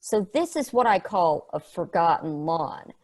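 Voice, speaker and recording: A woman's voice: fairly low pitch, very animated, measured pace. A woman playing a sardonic, passionate space probe in a table read, casual, rambling and sweary. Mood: fascinated, earnest. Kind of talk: conversational acting in a script table read.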